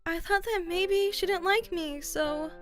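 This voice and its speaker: A woman's voice: very high voice, unhurried, soft background music. A woman voicing a polite, frank, shy middle-school girl in a manga dub, speaking earnestly and hesitantly. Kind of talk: anime-style manga dub dialogue.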